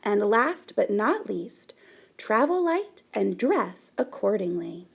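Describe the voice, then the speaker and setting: A woman reading a children's picture book aloud in an animated, deliberate way with dramatic emphasis. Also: mid-range voice, creaky, breathy edge. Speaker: storybook reader.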